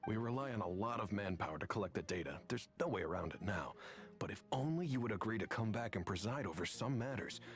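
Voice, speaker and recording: A man's voice: lowish voice, lively intonation, fast, faint music behind. A man playing an earnest, stiff academic professor in a video game, speaking formally and pressing his case. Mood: defensive, insistent.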